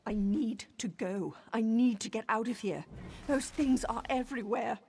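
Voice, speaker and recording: A woman's voice: on the high side, moderate pace, a little mellow, slight background noise, close to an everyday voice. An actress playing a frightened, guilt-ridden woman in a video game, pleading and distraught. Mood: urgent pleading.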